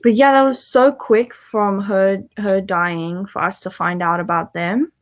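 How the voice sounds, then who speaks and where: A woman with a medium-pitched voice talks as a book club co-host. Her delivery is casual and unscripted, like a chat between friends about what they have read. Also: fairly even intonation, measured pace. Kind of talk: casual chatty book discussion.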